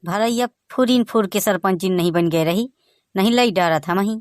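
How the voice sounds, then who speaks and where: A man with a Bagheli accent voicing a boastful village son-in-law in a comic cartoon, teasing in lively banter. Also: sits high, normal speed, very noisy, lightly acted. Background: music.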